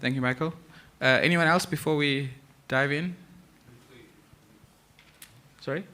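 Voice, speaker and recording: A man's voice: a little high, a little slow. A man moderating a conference panel, calling on audience questions in an informal, filler-laden way. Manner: relaxed, informal, with many fillers.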